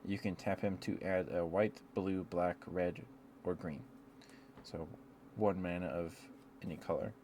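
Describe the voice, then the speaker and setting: A man chatting in a rambling, affectionate ASMR style to live viewers, speaking softly into a close microphone. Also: deep voice, fairly even intonation, measured pace. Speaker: ASMR livestreamer. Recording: clear background noise.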